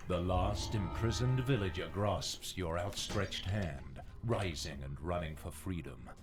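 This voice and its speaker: A man's voice: sits low, a little slow. A man giving grave, dramatic narration in a fantasy video game. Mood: solemn. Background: music.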